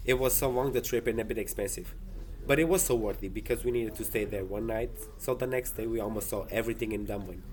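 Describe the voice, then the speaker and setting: A man's voice: lowish voice, fast talker, darkish tone. A man from the Azores, speaking in English as a guest. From Azores, Portugal. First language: Portuguese.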